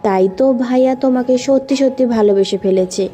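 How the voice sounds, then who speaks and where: A woman's voice: fairly high pitch, fairly quick, warm tone, lightly acted. A woman playing an earnest young woman, a loyal sister, in an audio story drama. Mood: earnest, pleading. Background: background music.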